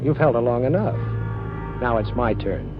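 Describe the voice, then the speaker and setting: A man's voice: medium pitch, moderate pace, loud music behind the voice, close to an everyday voice. An actor playing a wisecracking, unflappable male amateur sleuth in a 1940s radio detective drama, breezy and casual. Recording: very noisy, tinny old radio broadcast.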